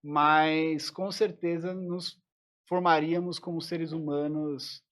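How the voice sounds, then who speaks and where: A man's voice: on the high side, level tone, takes it slowly, fairly bright tone. A man, a musician guest on a podcast, speaking reflectively and often restarting his sentences. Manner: reflective, thinks aloud with many restarts. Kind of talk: casual interview conversation.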